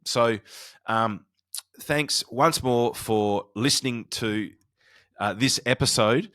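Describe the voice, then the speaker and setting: A man's voice: medium pitch, slow pace, fairly bright tone, no echo, studio quality. A man speaking as a podcast host and coach, explaining and advising in an informal, conversational solo style with often restarted phrases.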